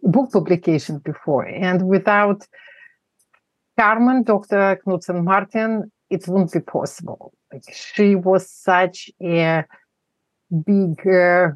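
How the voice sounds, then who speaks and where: A middle-aged woman with a Russian accent, a family therapist answering interview questions in halting speech full of pauses and fillers. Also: lowish voice, no room sound, poor audio.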